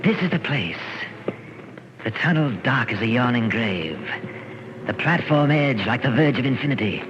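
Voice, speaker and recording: An actor playing Death as a brooding, menacing killer, speaking in theatrical soliloquy in an old radio horror drama. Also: male voice, mid-range voice, background noise. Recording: dull old radio recording, music behind.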